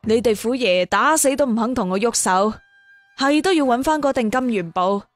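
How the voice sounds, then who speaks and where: A woman voicing a composed, teasing young martial arts master in an audio story, with a calm, dryly mocking manner. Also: on the high side, moderate pace, no echo, faint music behind, close to an everyday voice. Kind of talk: acted dialogue in an audio storytelling.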